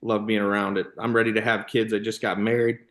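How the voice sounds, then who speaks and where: A man's voice: lowish voice, flat delivery, quick pace, a bit bright. An American man in his thirties telling casual, rambling anecdotes as a podcast guest, often dropping in "you know", "like" and "man". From Illinois, United States. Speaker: podcast guest, former baseball player and youth coach.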